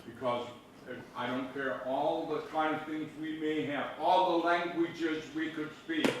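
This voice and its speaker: A man's voice: fairly high pitch, steady tone, unhurried. A male participant speaking up in a workshop session, in an earnest, emphatic way. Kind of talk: speaking up from the audience. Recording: echoey room from far off with noise.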